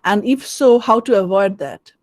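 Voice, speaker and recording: A woman's voice: medium pitch, lively intonation, normal speed, darkish tone, no echo. A woman moderating an online Q&A, reading out student questions with some hesitation. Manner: hesitant, many fillers.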